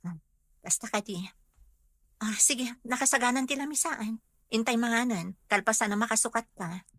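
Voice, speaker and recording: Wife and mother, radio drama: measured, questioning, pointed, close and dry. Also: on the high side, fairly bright tone, no room sound. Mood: suspicious, controlled. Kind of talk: Ilocano radio drama acting.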